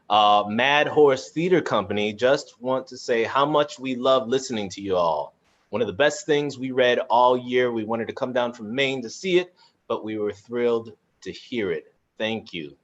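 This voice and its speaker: A man's voice: medium pitch, a little slow, a bit bright. A man chatting casually as he moderates a panel, reflective and often searching for words, heard over a video call. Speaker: actor and moderator of a cast discussion. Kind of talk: casual conversational panel chat. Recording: dull, lo-fi video call sound.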